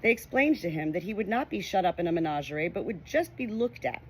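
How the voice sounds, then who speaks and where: A woman reads a children's storybook aloud. Her narration is expressive and informal, with lively rises and falls in pitch. A faint background noise runs behind her. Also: quick pace, poor audio. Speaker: audiobook reader.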